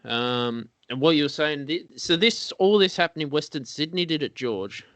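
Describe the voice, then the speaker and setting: Male interview host, kinda hesitant, slightly high voice, dead dry sound. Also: expressive, normal speed, fairly bright tone, dry recording. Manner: brief listening sounds, hesitant questions. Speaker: radio show host. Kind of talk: casual interview conversation.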